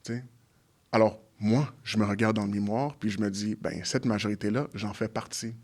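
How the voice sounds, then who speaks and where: A man's voice: fairly low pitch, fairly even intonation, a little mellow. An English-speaking man from Montreal talks through his views in French as a guest. He stops and restarts often as he hunts for the right words. Now and then an English phrase slips into his sentences. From Montreal, Quebec. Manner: halting, restarts often while searching for words. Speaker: guest, community organization leader. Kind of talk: conversational interview.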